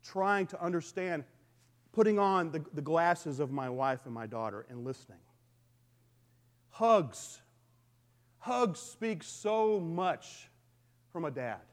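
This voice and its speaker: A middle-aged man with a booming voice, preaching a conversational sermon to his congregation. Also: slow, long pauses. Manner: lively, conversational preaching with call-and-response. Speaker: pastor.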